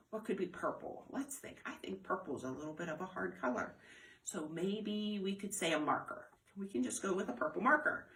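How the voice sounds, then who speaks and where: Lady doing a kids storytime lesson, sing-song and really up and down in pitch, mid-range voice, talks right to the little ones. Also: a bit bright. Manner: sing-song, prompting young viewers with questions. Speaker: children's storytime presenter at a library. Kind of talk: children's lesson with repetition and demonstration.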